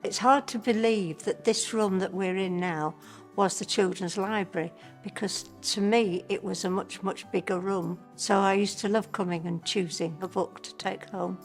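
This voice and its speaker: A woman in her seventies with a mid-pitched voice. She speaks gently and fondly. Quiet music plays underneath. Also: fairly even intonation, normal speed. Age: 70s. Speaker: interviewee. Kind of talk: casual reminiscing.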